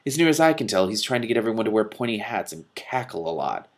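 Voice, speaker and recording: A man voicing a brisk, composed witch woman in a storybook, asking pointed, matter-of-fact questions. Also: fairly low pitch, very animated, voice pushed up. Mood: dry. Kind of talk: storybook read aloud.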